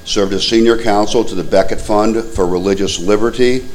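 Low-voiced man moderating a forum. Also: darkish tone, a little hiss or hum, rough recording. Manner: plain, halting reading with frequent uhs. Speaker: debate moderator. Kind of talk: reading candidate introductions.

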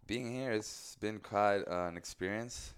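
Ecuadorian-accented male guest, very low, very clean resonant tone, relaxed and halting. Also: clear, resonant tone. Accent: Ecuadorian Spanish. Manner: easygoing, halting, laughs often. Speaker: guest, athlete and coach. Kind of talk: casual interview chat.

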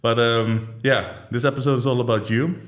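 Lively man hosting a podcast. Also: medium pitch, a little fast. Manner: lively questioning with many fillers. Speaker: podcast host. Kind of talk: casual podcast interview.

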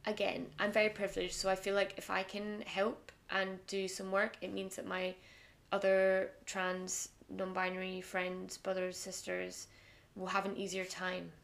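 A guest on a casual podcast, speaking in a rough, gravelly, froggy voice. The pitch sits in the middle range and the talk is animated, with bursts of laughter and quieter asides. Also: female voice, unhurried, hardly any pauses. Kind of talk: casual conversation.

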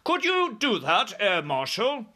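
A man voicing a composed, commanding queen in a storybook, regal, firm and measured. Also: high voice, wildly swooping pitch, bright, forceful tone, voice pushed up, full character voice. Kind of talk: storybook character voice.

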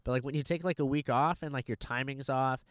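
Laid-back swearing young man, smooth voice. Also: medium pitch, fast, dry recording, studio quality. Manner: laid-back, swears a lot, says dude constantly. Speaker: podcast host and stand-up comic. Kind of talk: casual podcast chat. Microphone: handheld microphone.

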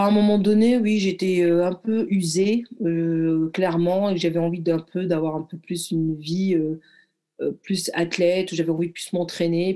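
Guest, woman in her thirties, from Morocco; relaxed, halting storyteller with a dark, soft tone. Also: on the low side. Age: 30s. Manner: reflective, halting, lots of fillers and restarts. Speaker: guest athlete and former firefighter. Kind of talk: casual conversational storytelling.

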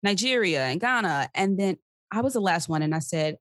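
A young woman from Mississippi interviewing a guest, speaking reflectively and earnestly, often pausing to search for words. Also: on the low side, expressive, dry recording, clean studio sound. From Mississippi, USA.

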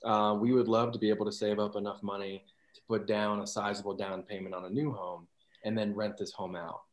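A man's voice: fairly low pitch, flat delivery, a little fast, echoey room. A man in his twenties, a guest on a podcast, talking about money in an easygoing way, with loose restarting phrases. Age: 20s. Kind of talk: casual chat.

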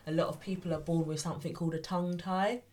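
A woman with a blocked nose, calmly explaining health facts on a radio chat show. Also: on the low side, fast.

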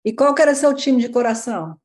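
Mellow-voiced female podcast host. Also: on the high side, expressive, fast talker. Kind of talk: interview questions.

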